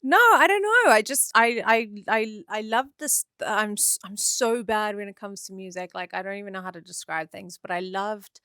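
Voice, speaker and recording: A woman's voice: mid-range voice, expressive, normal speed, hardly any pauses, clear, resonant tone, no echo. A woman from South Africa with a South African accent, hosting a podcast interview in a chatty, hesitant way. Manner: warm, chatty, hesitant with fillers. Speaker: podcast host.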